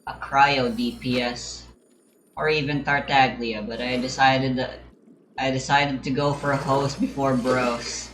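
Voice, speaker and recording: A man's voice: medium pitch, level tone, unhurried, fairly bright tone, slight background noise. A man giving casual, rambling game commentary in an offhand, musing way. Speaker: gamer recording gameplay. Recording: roomy echo, music underneath. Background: game music.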